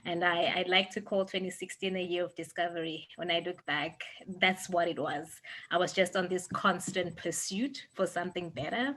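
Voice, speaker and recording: A young woman from Zimbabwe, a guest telling her story in a relaxed, animated way and laughing easily. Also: lowish voice. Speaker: interview guest. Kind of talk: casual storytelling.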